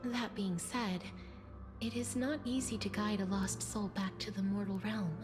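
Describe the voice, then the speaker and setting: A woman plays a gentle young goddess of death from a video game. She speaks in a soft, breathy, near-whispering voice, measured and solemn, with clear music underneath. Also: mid-range voice, fairly even intonation, close to an everyday voice. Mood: solemn, resolute. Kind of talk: dramatic video game voice acting.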